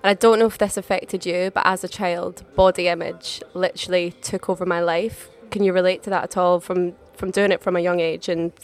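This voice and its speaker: A woman's voice: fairly low pitch, little pitch movement, fairly quick, few pauses. A woman co-hosting a podcast who asks questions and relates her own experience to the guest's. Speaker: podcast co-host. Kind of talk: interview questions.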